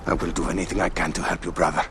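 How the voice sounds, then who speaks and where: A man's voice: sits low, little pitch movement, very fast, creaky, background noise. A man playing a grieving, bitter father in a video game drama, sombre and heavy-hearted. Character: grieving father, sorrowful, bitter, devoted friend.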